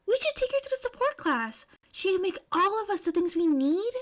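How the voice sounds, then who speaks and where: A woman's voice: very high voice, sing-song, brisk, voice pushed up. A woman voicing an eager, blunt, socially awkward young inventor girl in a story reading. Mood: eager, scheming.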